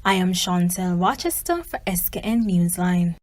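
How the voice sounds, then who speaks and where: A woman's voice: fairly low pitch, animated, normal speed, darkish tone, faint music behind. A woman reading a scripted news report as a reporter.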